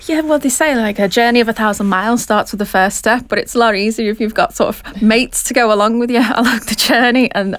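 A woman's voice: mid-range voice, no room sound. A woman in her thirties with a Liverpool accent, a business founder answering interview questions with chatty enthusiasm. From Liverpool, England. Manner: enthusiastic, chatty, laughs easily.

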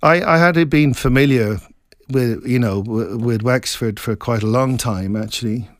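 A man's voice: mid-range voice, dry recording, clean studio sound. Reflective middle-aged man from Scotland. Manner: reflective, meandering, halting with many restarts. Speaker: author, interview guest. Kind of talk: casual interview chat.

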